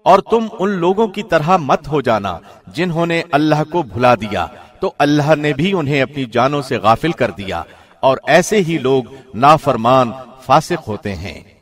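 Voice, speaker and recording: A man reading a scripture translation from a script in the formal, measured manner of a radio presenter. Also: a little high, big pitch swings. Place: studio.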